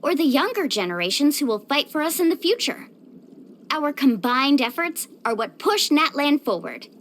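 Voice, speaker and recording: A woman voicing an upbeat, caring, sporty young surfer in a video game, speaking in a peppy, expressive, friendly way. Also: high-pitched, normal speed, a little hiss or hum. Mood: earnest, friendly. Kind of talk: scripted video game voice acting.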